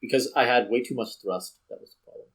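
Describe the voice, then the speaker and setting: A man's voice: medium pitch, fast talker, breathy edge, rough recording. A man giving casual let's play commentary as a gaming host, rambling and thinking aloud as he goes.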